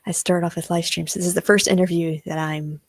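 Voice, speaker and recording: Host, young woman, very low husky voice, shy and hesitant, video call. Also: fairly even intonation, a little fast, dry recording. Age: young adult. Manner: shy, hesitant with many ums. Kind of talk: livestream interview.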